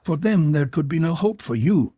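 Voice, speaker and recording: A man's voice: on the high side, lively intonation, moderate pace, dark tone, dry recording, clean studio sound, pitched above the performer's own voice. A man voicing a young captive woman in an audiobook, earnest and sorrowful, with formal old-fashioned phrasing. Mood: urgent warning. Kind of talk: audiobook character voice.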